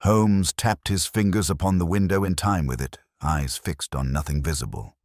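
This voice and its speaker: A man reading a scripted first-person detective story in a measured, literary manner. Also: low voice, a little mellow, no echo, clean studio sound. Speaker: audiobook narrator.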